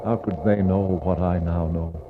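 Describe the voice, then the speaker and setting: An actor playing a brooding polar explorer in a 1960s poetic radio drama, speaking in a solemn, declamatory way with dramatic pauses. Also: male voice, sits low, flat delivery, natural voice. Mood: troubled wonder. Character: brooding male polar explorer searching for a lost expedition. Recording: dull, noisy 1960s radio recording.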